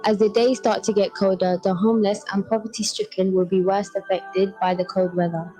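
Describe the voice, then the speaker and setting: Female station voice, warm mellow tone, soft music underneath. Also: medium pitch, level tone, a little fast, poor audio. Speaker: station announcer. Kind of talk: scripted radio announcement.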